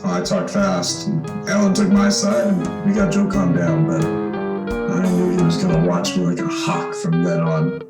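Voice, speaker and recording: Man playing an eager young man, swinging pitch. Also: animated, unhurried. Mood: reminiscing. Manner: folksy boyish slang, hesitant. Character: eager, uneasy young man back home, telling his story. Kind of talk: old-time radio drama acting with first-person narration. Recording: roomy echo, music behind.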